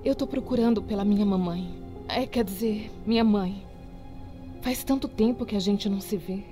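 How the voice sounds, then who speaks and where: A lost, timid young woman from a horror game, acted by a woman, speaks in Brazilian-accented dub. She is hesitant and apologetic, at a middling pitch over clear music. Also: moderate pace, mellow, natural voice. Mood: sad, hesitant. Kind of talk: dubbed game dialogue.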